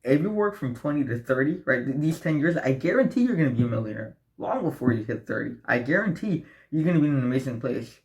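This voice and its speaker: A man's voice: mid-range voice, expressive, quick pace, few pauses, darkish tone. Young man giving blunt, profane advice. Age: young adult. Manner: blunt, profane, preachy motivational talk; addresses viewers as guys, asks right? often. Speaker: self-improvement vlogger. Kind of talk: direct-to-camera advice vlog.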